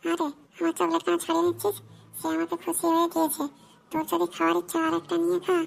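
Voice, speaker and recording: Woman voicing a high-pitched cheeky cartoon schoolgirl. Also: completely flat delivery, fast talker, creaky, full character voice. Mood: indignant.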